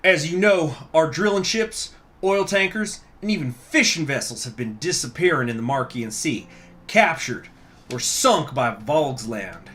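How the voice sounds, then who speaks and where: A man's voice: a little high, expressive, a little slow, a bit bright, pitched above the performer's own voice. A man voicing an indignant male political delegate in a storybook, with a foreign accent and a formal, emphatic, declamatory delivery.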